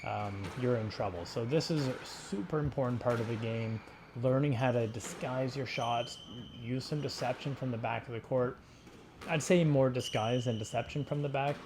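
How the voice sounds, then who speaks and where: A man coaching in an informal, explanatory way, talking through sports drills in halting phrases. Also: medium pitch, background noise. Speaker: squash coach. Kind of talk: instructional sports commentary over drill footage.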